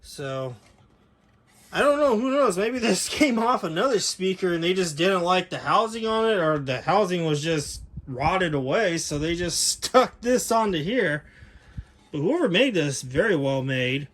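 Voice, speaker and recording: An American man talks in a voice pitched a little higher than most men's. He sounds like a hobbyist vlogger walking viewers through gear without a script. His delivery is folksy and conversational, wandering from one point to the next. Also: very animated, a little slow, fairly bright tone. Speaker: vlogger showing vintage audio gear. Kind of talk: casual unscripted product walkthrough.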